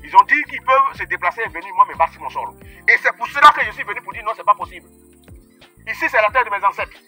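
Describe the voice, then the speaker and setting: A man with an Ivorian accent speaking outdoors at a village grave, addressing his ancestor in emphatic, chanting, repetitive calls, with a husky, strained voice. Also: sits high, expressive, quick pace, pushed and bright, faint music behind. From Ivory Coast. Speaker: self-described traditional practitioner.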